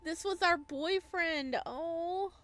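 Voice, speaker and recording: A woman giving informal let's play commentary, reacting excitably and thinking out loud as she goes. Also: extremely high pitch, slow. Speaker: gaming video creator playing a visual novel. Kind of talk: let's play commentary, reading dialogue aloud.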